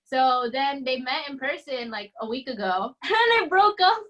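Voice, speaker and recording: A woman's voice: high voice, lively intonation, measured pace, a bit bright. Woman host chatting with hesitant fillers. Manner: hesitant, lots of fillers and restarts. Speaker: podcast host. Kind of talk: casual chat.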